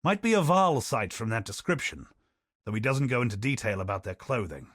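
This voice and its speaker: Wry scholarly explorer in a game, lively up and down voice, dry close studio sound. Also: male voice, medium pitch, no echo. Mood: wry, curious. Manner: dry wry asides, measured storytelling delivery. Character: scholarly male explorer, curious, wry, honor-seeking. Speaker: expedition companion character. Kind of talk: studio video game voice lines.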